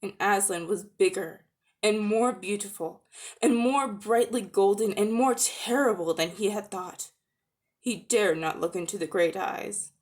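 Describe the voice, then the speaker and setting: A woman narrating a children's story as a dramatic reading, expressive and deliberate. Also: medium pitch. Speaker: audiobook narrator.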